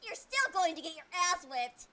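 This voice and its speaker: A woman's voice: extremely high pitch, very animated, normal speed, over a noisy crowd. A woman voicing a bossy, haughty teenage anime girl mage in a fan parody dub. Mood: scolding. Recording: roomy, noisy parody dub audio.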